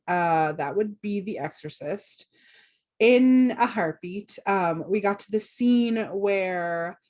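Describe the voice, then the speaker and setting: A woman's voice: medium pitch, darkish tone. A woman co-hosting a podcast, chatting casually and playfully and joking often. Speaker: podcast co-host. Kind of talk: casual chat.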